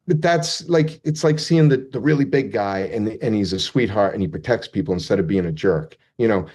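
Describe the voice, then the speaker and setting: A man's voice: fairly low pitch, fairly quick, a little mellow. A man speaking as an interview guest, rambling and heartfelt, sometimes poking fun at himself. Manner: rambling, heartfelt, self-deprecating. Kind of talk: casual interview chat.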